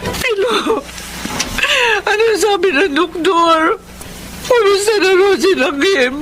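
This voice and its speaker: Anxious, protective mother: high female voice, melodramatic radio-serial delivery, music underneath. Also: animated, takes it slowly, exaggerated voice, poor audio. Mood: frantic, worried. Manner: melodramatic, emotional. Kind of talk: Filipino radio drama acting.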